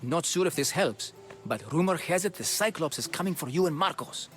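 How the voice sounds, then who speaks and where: A shrewd ship dealer whose voice sits a little high. He haggles stubbornly and trades in rumors. His tone stays cautious and wary. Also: animated, fairly quick, fairly bright tone, soft background music. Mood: haggling. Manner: haggling, warning, gossipy. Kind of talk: video game cutscene acting.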